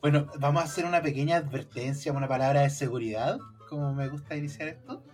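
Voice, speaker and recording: A man's voice: a little high, quick pace, darkish tone, soft background music. A Chilean man co-hosting a podcast, teasing the guest in playful banter and laughing often. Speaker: podcast co-host.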